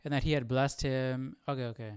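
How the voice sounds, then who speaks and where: A man hosting a livestream with casual reaction commentary, haltingly thinking aloud. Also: mid-range voice, fairly even intonation, clear, resonant tone. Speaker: livestream host.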